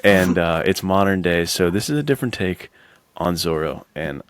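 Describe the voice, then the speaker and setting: A man's voice: low voice, expressive, moderate pace, a little hiss or hum. An American man chatting casually as a podcast co-host, informal and slangy. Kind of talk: casual chat.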